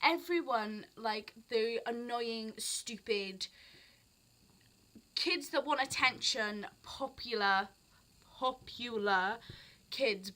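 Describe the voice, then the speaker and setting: A woman's voice: fairly high pitch, sharp tone, slight background noise. A teenage girl with a South Wales Barry accent tinged with English, rambling expressively in an unscripted casual rant as she jumps between thoughts. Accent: South Wales, Barry, with some English sounds. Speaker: vlogger.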